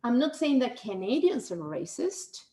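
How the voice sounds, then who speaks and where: Woman, Spanish accent, high voice, echoey room, loose chatty delivery with lots of restarts. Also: big pitch swings, moderate pace, mellow. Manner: rambling, many restarts and "right?" tags. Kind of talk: casual conversation.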